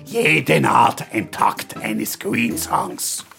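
An actor playing a flamboyant, gushing older star guest in a radio play, campy and full of endearments. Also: male voice, mid-range voice, lively intonation, very slow, pushed and bright, soft background music, heavily acted. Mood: proud, nostalgic. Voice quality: creaky. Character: flamboyant, gushing older male celebrity salon regular. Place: radio drama studio.

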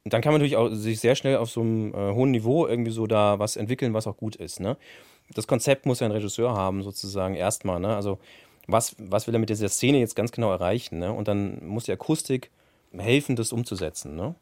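Fast-talking man, low-pitched. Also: a little mellow, no echo, clean studio sound. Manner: colloquial, frequent tag questions. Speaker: studio sound engineer. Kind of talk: casual interview talk.

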